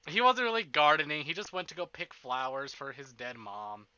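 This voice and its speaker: Bright-voiced man chatting on a livestream. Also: fairly high pitch, sing-song, moderate pace, clear, resonant tone, dry recording, dull audio. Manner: informal, rambling, with mild swearing. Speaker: livestream host. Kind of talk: casual livestream chat.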